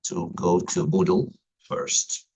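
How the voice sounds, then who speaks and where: A deep-voiced man in his thirties with an Egyptian Arabic accent speaks at a home computer late at night, recording an introduction for an online writing class. His voice is slightly husky, and he fills his careful explanations with frequent ums and uhs. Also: level tone, takes it slowly, a little mellow. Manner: hesitant, many fillers, careful explanatory delivery. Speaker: university writing instructor.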